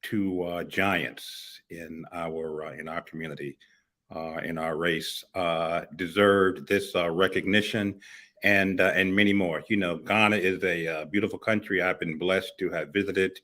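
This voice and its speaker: Deep, bright-voiced man, halting panel talk. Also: steady tone. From United States, Miami. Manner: halting, many "uh" fillers and restarts. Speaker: morning show co-host. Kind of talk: casual panel discussion.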